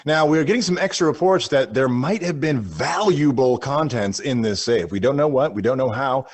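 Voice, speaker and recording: A man's voice: medium pitch, lively intonation, no echo, close to an everyday voice. A flustered, alarmist news anchor, acted by a man in a comic radio bulletin. He speaks in a medium register with grave drama, tripping over his words and repeating them. The sound is close and dry. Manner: dramatic, halting, repeats and stumbles over himself. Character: frantic, solemn male radio news anchor, absurdly alarmist.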